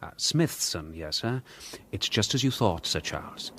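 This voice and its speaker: A man's voice: fairly low pitch, lively intonation, measured pace, fairly bright tone. An actor playing an obliging, deferential agent reporting to his employer in a radio drama, careful and respectful. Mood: deferential, pleased.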